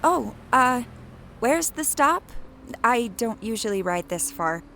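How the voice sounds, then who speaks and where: A woman's voice: a little high, sing-song, takes it slowly, a bit bright, a little hiss or hum, exaggerated voice. A woman playing a skittish, sardonic young college student in an audio drama, casual and hesitant, often muttering to herself. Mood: awkward, polite. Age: young adult. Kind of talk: naturalistic audio drama acting.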